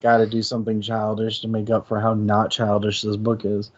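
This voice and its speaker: Lower-voiced man co-hosting, muffled audio. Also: completely flat delivery, brisk. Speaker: co-host of a book discussion show. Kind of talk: casual chat.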